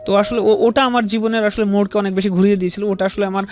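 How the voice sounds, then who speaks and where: Young Bangladeshi male guest, fairly high voice, hesitant and respectful, circling back over his words as he recounts his life. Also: steady tone, a little mellow. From rural Bangladesh. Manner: halting, repetitive, deferential. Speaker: interview guest. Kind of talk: personal life story told in interview.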